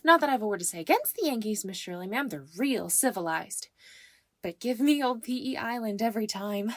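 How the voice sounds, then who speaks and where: A woman's voice: a little high, animated, brisk, fairly bright tone, dry recording, clean studio sound. A woman playing an eager, anxious young country servant girl, folksy, chatty and deferential, in a dramatized story. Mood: relieved, chatty. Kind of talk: dramatized audiobook character acting.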